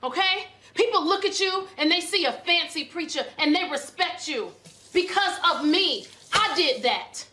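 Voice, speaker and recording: Sharp, high-voiced domineering wife in drama. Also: female voice, animated, measured pace, a little hiss or hum, full character voice. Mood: angry, accusing. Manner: confrontational, scolding, accusing. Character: furious domineering wife of a philandering preacher. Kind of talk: screen drama acting. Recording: echoey room.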